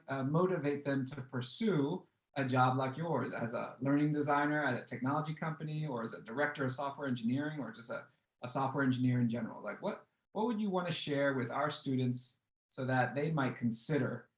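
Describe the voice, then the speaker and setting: Upbeat host talking to the kids, roomy echo on the voice. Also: medium pitch. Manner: upbeat, addresses the kids directly. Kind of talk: moderating a class chat.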